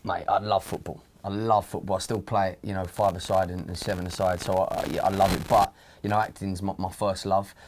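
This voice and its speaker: A man's voice: low-pitched, little pitch movement, slight background noise. A man in his thirties with an Essex accent, a guest actor chatting casually and matily in an interview. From East London, Essex. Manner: quick, matey, says "mate" and "you know".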